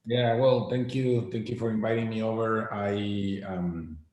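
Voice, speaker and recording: A man in his forties from Costa Rica, a guest answering interview questions in a halting way, often repeating his words. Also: fairly low pitch, fairly even intonation, darkish tone. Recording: very echoey room.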